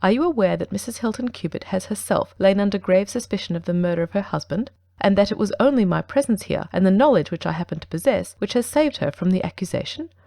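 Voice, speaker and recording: A woman playing a stern, commanding gentleman detective in a dramatic audiobook reading, formal and accusing. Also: mid-range voice, expressive, fast, vocal fry, few pauses, no room sound, clean studio sound. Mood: grave, insistent.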